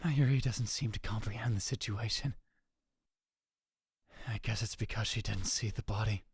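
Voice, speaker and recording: Man voicing a husky, shaken young student. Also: fairly low pitch, normal speed. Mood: tense. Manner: tense inner monologue, dropping to whispers. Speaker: narrator and lead voice in a fan dub. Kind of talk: first-person visual novel narration.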